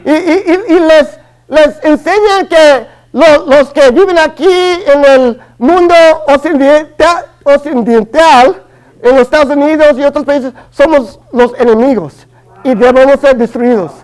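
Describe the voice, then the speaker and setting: A man's voice: extremely high pitch, a little slow, a little mellow, poor audio. A man in his early thirties from Los Angeles with a Chicano accent, preaching a sermon in a hesitant way, with many fillers and restarts. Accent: Chicano Spanish. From Los Angeles, family from Guatemala. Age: early 30s. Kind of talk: Spanish-language sermon.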